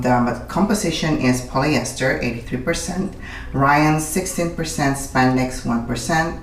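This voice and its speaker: A woman vlogger, raspy from a recent illness, chatting through a clothing haul with many ums and reading out product details. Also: extremely low pitch, rough recording. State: raspy after being sick. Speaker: fashion vlogger. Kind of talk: casual try-on haul chat.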